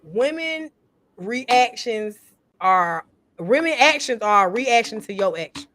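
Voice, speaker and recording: A woman's voice: fairly high pitch, animated, takes it slowly, a bit bright, dry recording. A woman in her thirties chatting casually on a panel, blunt and emphatic, with a lot of swearing. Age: 30s. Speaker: podcast panelist. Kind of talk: casual chat.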